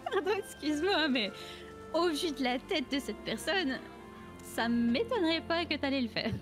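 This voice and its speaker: Woman acting supportive female friend, gentle, reflective, high pitch, game roleplay scene, clear background music. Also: lively intonation, moderate pace. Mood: amused.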